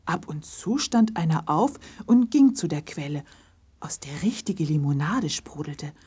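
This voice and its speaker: A woman's voice: deep voice, expressive, a little slow, mellow, slightly rough. A woman narrating a children's story from a script as the narrator of an audio play.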